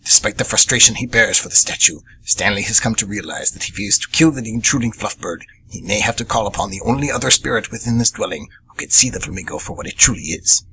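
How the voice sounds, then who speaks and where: A man's voice: fairly low pitch, animated, fast talker, hardly any pauses, pushed and bright, a little hiss or hum, rough recording. A man performing radio theatre as a vengeful, obsessed little monkey demon hunter, narrating with grave drama. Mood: brooding, vengeful.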